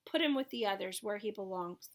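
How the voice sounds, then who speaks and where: A woman's voice: medium pitch, animated, a little fast, lightly acted. A woman voicing a cold, stern male SS officer with a curt, commanding manner in an audiobook story.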